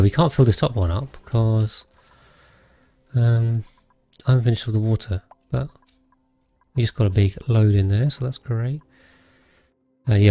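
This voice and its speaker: A British man streams a game in a fairly low, calm voice. He talks casually to his viewers and thinks aloud as he goes, measured and unhurried. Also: no echo. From Britain. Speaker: live streamer, host. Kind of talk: casual game commentary while chatting with viewers.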